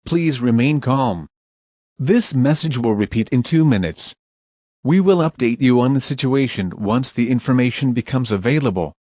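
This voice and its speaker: A man reading a scripted mock emergency alert as a broadcast announcer, formal, measured and urgent. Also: medium pitch, expressive, a little mellow, no echo.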